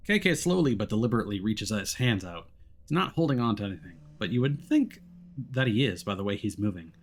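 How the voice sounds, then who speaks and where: A man live-streaming a game, reading its narration aloud in an expressive storytelling way and chatting casually. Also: fairly low pitch, breathy edge.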